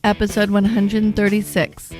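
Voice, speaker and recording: A woman's voice: medium pitch, moderate pace. Announcer: woman, mid-range, formal scripted intro, strong guitar bed. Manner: steady, formal read. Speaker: show announcer. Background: guitar music.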